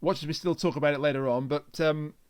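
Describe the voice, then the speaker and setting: A man's voice: fairly high pitch, fairly even intonation, fast talker, clean tone, no echo, clean studio sound. A man co-hosting a podcast, chatting casually as he reviews a story. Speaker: podcast co-host.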